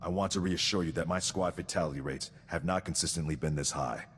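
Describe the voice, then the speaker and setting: An actor playing a stern, dutiful sergeant in a video game, speaking formally and precisely, coldly devoted to victory. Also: male voice, low voice, fast, husky, long reverb tail. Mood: earnest, formal. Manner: formal, precise, recites statistics. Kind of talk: scripted video game acting.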